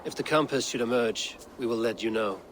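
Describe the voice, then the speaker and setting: Actor as terse, grave Viking warrior lead; mid-pitch, plain. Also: male voice, brisk, a little hiss or hum, close to an everyday voice. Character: stern, terse adult male Viking warrior.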